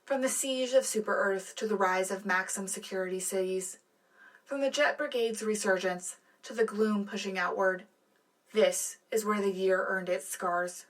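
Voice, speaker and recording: A woman acting as a poised news co-anchor on wartime space radio. Her mid-pitched voice delivers scripted bulletins with earnest, polished announcer phrasing. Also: normal speed, close to an everyday voice, rough recording. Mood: poised, rousing. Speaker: radio show co-host. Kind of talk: scripted broadcast news narration.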